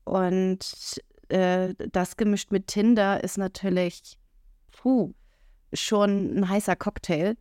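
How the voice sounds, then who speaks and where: A woman's voice: mid-range voice, fairly even intonation, takes it slowly, mellow, clean tone, no room sound. Frank chatty woman, dry close sound. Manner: frank, chatty, many fillers and laughs. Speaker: podcast co-host. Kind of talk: casual podcast conversation.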